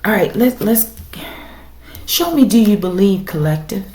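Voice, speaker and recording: A woman with a raspy voice doing a casual tarot reading for her viewers, speaking emphatically and often checking in with "okay?". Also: medium pitch, lively intonation, measured pace, dark tone. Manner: emphatic, repeats "okay?" to the audience. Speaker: tarot reader. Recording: echoey room with faint noise.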